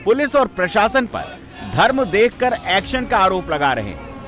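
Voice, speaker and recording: A man's voice: high voice, very animated, unhurried, a bit bright. A man presenting scripted TV news narration in an emphatic, sensational, dramatic way. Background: background music.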